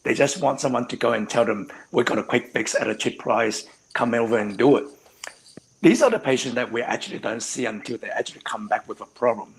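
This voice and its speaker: A man of middle pitch speaking as a surgeon on an online panel. He explains in an earnest, steady way, leaning on the word "actually" often. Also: a little fast, vocal fry, rough recording. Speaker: hair transplant surgeon, panelist. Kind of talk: live stream panel discussion.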